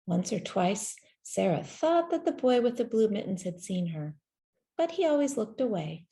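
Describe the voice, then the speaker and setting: A woman reading a children's story aloud in a gentle, measured way, with deliberate pauses between phrases. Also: medium pitch, sing-song, a little hiss or hum. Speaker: storybook reader. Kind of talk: scripted children's story narration, read aloud.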